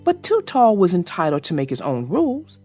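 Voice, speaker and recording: A woman narrating a children's picture book aloud in an expressive storytelling style. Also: lowish voice, a little fast, soft background music.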